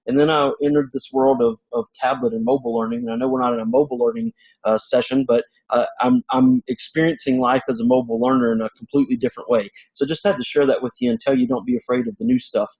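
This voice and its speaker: A man from Louisiana speaks at a conference session with a loud, chatty delivery and often restarts his words. His voice has a husky edge. The recording is dull and lo-fi. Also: mid-range voice, fast. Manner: loud, chatty, repeats word starts. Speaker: conference presenter. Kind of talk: conference session talk, audience participation.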